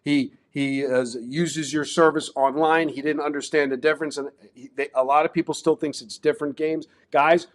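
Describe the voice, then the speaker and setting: A man's voice: on the high side, flat delivery, moderate pace, hardly any pauses. A man with a Baltimore accent hosting a casual sports betting talk show, chatty and promotional. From Baltimore, Maryland. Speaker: show host.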